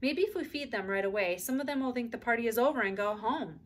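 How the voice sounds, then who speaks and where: A woman voicing a practical, harried mother in a storybook, brisk and matter-of-fact. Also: a little high, expressive.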